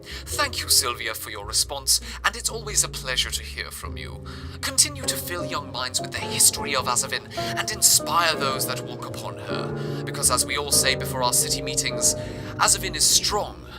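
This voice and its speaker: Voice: mid-range voice, expressive, moderate pace, loud music behind the voice, natural voice. A performer playing a theatrical, sentimental city radio host in an amateur audio drama, with florid phrasing. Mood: theatrical, genteel. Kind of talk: amateur radio drama, scripted broadcast narration.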